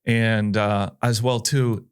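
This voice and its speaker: A man's voice: fairly low pitch, steady tone, takes it slowly, clear, resonant tone. A man hosting an interview, asking long, rambling questions full of fillers. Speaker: podcast host. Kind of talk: conversational interview.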